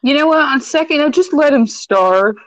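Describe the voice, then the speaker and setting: A woman's voice: sits high, expressive, moderate pace, no echo, highs cut off. A woman of twenty trading playful, sassy comebacks in casual banter on a livestream. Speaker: guest.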